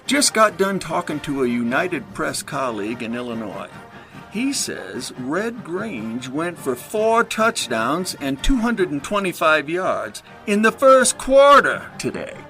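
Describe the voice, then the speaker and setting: Gravelly, animated 1920s newspaperman. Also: on the high side, slow, faint music behind, exaggerated voice, low-quality recording. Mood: newsy, impressed. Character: slow-talking gravelly 1920s newspaperman passing on news. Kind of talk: period radio drama acting.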